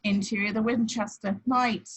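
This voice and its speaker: A woman's voice: mid-range voice, fairly even intonation, normal speed, husky. A woman reading out screenplay stage directions as scripted narration in a table read. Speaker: action description reader.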